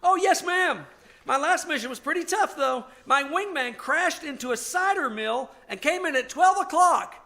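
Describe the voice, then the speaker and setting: Eager high-pitched boyish young officer. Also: male voice, animated, moderate pace, bright, forceful tone, full character voice. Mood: earnest, proud. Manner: polite, wordy, earnest. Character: eager, boyish young retired Air Force colonel. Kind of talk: old-time radio comedy acting. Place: live stage performance at a winery.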